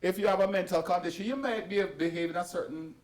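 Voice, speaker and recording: A man's voice: high-pitched, fast talker. A man hosting a talk show, putting questions to his guests and jumping in to push back. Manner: animated, jumps in with questions.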